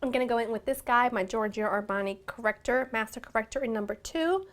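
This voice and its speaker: A woman in her thirties chats her way through a beauty tutorial from a small room at home. Her voice sits a little high and carries a slight rasp from a lingering cold. She talks close to the camera in an easy, gushing way. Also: fast. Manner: chatty, gushing, warm address to viewers. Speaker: beauty vlogger. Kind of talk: casual makeup tutorial.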